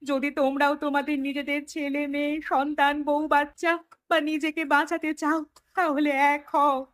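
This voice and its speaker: A woman's voice: sits high, level tone, normal speed, a bit bright, dry recording, studio quality. A woman playing a grieving village mother in a horror cartoon, rallying others with dramatic urgency. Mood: grieving, urgent.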